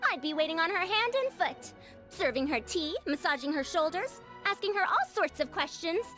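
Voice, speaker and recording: A woman's voice: very high-pitched, lively intonation, normal speed, sharp tone, heavily acted. A woman voicing a proud, indignant old female scholar in a video game, theatrical and grumbling, with music behind her. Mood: eager. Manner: theatrical, self-important, grumbling. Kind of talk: video game character monologue.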